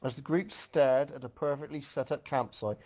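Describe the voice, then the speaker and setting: Male reader, middle pitch, casual amateur storytelling with off-the-cuff asides to a group. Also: normal speed, darkish tone. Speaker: reader for a comedy fan fiction group.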